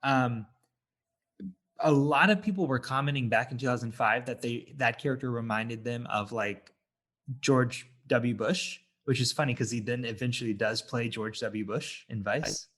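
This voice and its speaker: Man in halting, casual podcast chat. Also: mid-range voice, a bit bright. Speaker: podcast co-host. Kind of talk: casual film review chat.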